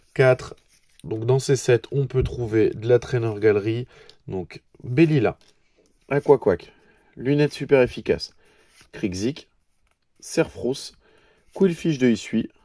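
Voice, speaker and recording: Man with a cold hosting an unboxing. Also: mid-range voice. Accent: French. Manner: hesitant, frequent filler sounds; lists cards in a steady patter. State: has a cold. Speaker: YouTube channel host. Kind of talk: casual card unboxing commentary.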